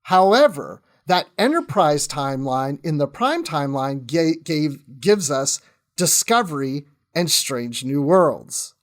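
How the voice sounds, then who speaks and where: A man's voice: a little high, very animated, slow. A man chatting as a guest in a casual fan podcast discussion, conversational with tentative asides.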